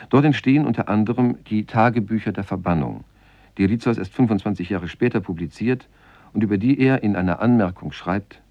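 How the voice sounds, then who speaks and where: A man reading a scripted literary essay for radio in a measured, formal manner, as a presenter introducing a play. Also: on the low side, a little mellow, no echo, lo-fi sound. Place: dry radio studio.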